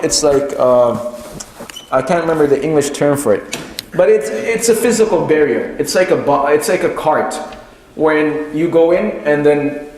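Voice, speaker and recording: A man giving an Islamic religious lecture, explaining haltingly and repeating key phrases for emphasis. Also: fairly high pitch, darkish tone. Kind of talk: sermon-like lecture retelling and explaining a religious story. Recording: very echoey room with faint noise.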